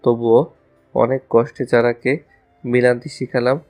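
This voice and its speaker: A man's voice: medium pitch, level tone, measured pace, darkish tone, soft background music, natural voice. A man playing a lovestruck, casual young college student in a romantic audio story. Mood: dreamy musing.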